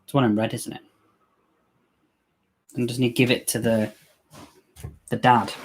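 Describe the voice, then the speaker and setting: A man's voice: medium pitch, flat delivery, measured pace. A man with a Scouse accent hosting a live movie watch-along, chatting casually and joking as he answers viewer comments. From England. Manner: jokey, chatty, reacting to the film and reading viewer comments. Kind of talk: casual live-stream chat.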